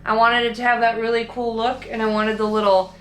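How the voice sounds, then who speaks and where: A woman's voice: fairly high pitch, little pitch movement, a little fast, fairly bright tone, echoey room, rough recording. A young woman with a Minnesotan accent, chatting casually and rambling about her own painting in a vlog, with lots of fillers and restarts. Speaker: artist vlogger.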